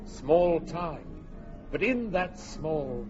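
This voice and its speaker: An actor playing a stately chorus, declaiming Shakespearean verse in a measured, grand way for radio. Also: male voice, fairly high pitch, animated, music in the background. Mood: stately, elegiac. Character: stately chorus narrator closing a history play in verse. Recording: muffled old radio recording.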